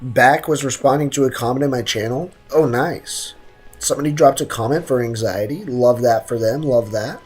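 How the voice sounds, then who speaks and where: A woman's voice: extremely low pitch, moderate pace, darkish tone, breathy edge, soft background music. A woman doing casual, slangy live gameplay commentary, teasing and reactive, with a slightly sick throat. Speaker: game streamer.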